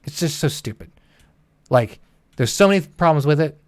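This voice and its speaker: A man's voice: on the high side, expressive, normal speed, darkish tone. A man co-hosting a gaming livestream, chatting casually with an exasperated, sweary, ranting manner.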